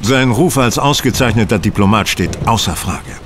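An actor playing a brisk, commanding, analytical gentleman detective in a German audio drama. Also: lowish voice, expressive, a little hiss or hum, natural voice. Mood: analytical, intrigued. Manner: decisive, incisive, authoritative.

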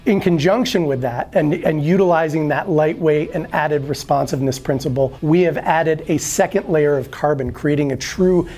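A man's voice: on the high side, normal speed, few pauses, darkish tone. A man in his forties giving an upbeat scripted product walkthrough in a showroom, explaining and gesturing as he talks, on a lapel mic. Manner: upbeat, explanatory, gestures at skis while talking. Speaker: brand product presenter. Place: ski shop showroom, indoors.

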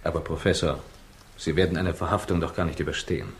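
Low-voiced, probing male reporter. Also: fairly even intonation, fast talker. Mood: pressing. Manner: polite but pressing, questioning. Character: persistent, probing English newspaper reporter, male. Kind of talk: 1960s German radio crime drama acting. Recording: noisy old 1960s radio recording.

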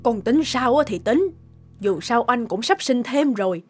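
A woman's voice: a little high, expressive, unhurried, no echo, faint music behind. A woman voicing a stern, scheming older mother-in-law in a cartoon, speaking firmly and lecturing. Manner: firm and lecturing. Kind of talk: cartoon dub acting.

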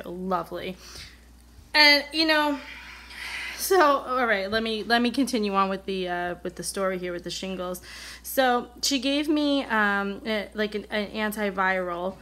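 An American woman talks at a medium pitch, as a vlogger would to her regular viewers. Her delivery is casual and candid, wandering a little as she replays who said what. A mild cold leaves her voice sounding slightly off. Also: fairly bright tone. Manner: candid, rambling, acts out remembered dialogue. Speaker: vlogger, coloring channel host. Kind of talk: casual chatty personal storytelling. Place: indoors at a table, coloring on camera.

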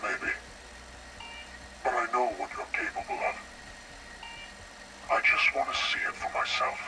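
An actor playing a smug, menacing intelligence director in a video game, speaking with condescending, deliberate taunts. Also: male voice, medium pitch, wildly swooping pitch, heavy background noise. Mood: coaxing. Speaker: interrogator. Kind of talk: dramatic video game voice acting.

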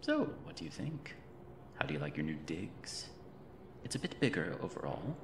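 A man's voice: low voice, wildly swooping pitch, moderate pace, creaky, heavily acted. A man playing a creepy yet cheerful mad reanimator in an ASMR roleplay, talking in a theatrical, fussy, rambling way with polite macabre humour. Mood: fussy, eccentric. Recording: roomy echo with noisy ambient backdrop.

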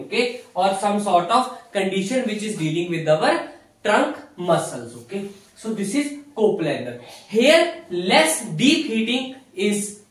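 A young man with an Indian accent giving an explanatory whiteboard lecture, repeating key phrases as he teaches. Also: sits high. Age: young adult. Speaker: physiotherapy lecturer. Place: small indoor room with whiteboard. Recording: very echoey room, distant mic.

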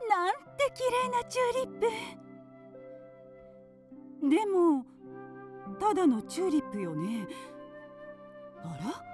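A gentle, wishful woman in a radio drama. The voice is very high and animated, earnest and full of wonder, with light music behind it. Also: full character voice.

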